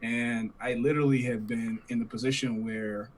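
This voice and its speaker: A man's voice: mid-range voice, steady tone, moderate pace, slight background noise. A man co-hosting a podcast, talking in a casual, rambling way and laughing at his own stories. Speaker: podcast co-host. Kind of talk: casual chat.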